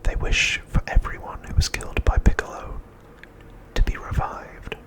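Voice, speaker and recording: A man hosts an ASMR recap entirely in a whisper. His delivery is breathy and unvoiced, set out in deliberate phrases with short pauses between them. It has the feel of quietly read narration. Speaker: ASMR host.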